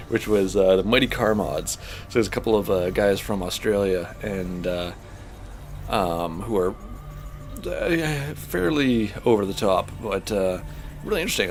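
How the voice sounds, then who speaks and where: A man hosting a gaming channel, chatting casually while he plays, rambling with lots of fillers and a slightly stuffed-up voice. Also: fairly low pitch. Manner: rambling, heavy on fillers and restarts. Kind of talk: casual chat while playing a space game.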